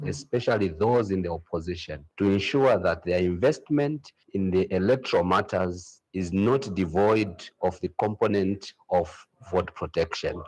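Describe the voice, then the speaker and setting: Zambian man, low raspy voice, reading out a formal statement as a spokesman. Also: darkish tone, rough recording. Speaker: civil society organisation spokesman.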